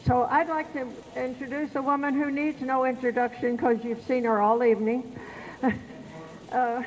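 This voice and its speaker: A gracious woman moderator with a Southern Indiana accent thanks the guests and introduces the next speaker. Her voice is a touch high. The sound is a little muffled, with a long hall reverb and faint noise. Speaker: event moderator. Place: banquet hall.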